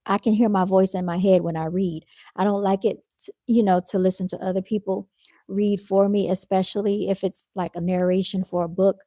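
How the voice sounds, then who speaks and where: Soft-spoken woman with a dark, soothing voice. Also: mid-range voice, no echo, studio quality. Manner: calm and unhurried, with fillers and restarts. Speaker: live-stream host reading viewer comments. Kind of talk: casual spoken monologue.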